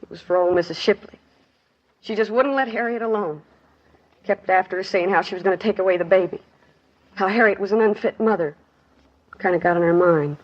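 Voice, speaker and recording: A woman's voice: mid-range voice, brisk, long pauses, close to an everyday voice. An actress in a 1950s radio drama playing a weary, grieving working-class woman who tells her story in plain, clipped sentences. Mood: sorrowful. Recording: dull 1950s radio recording.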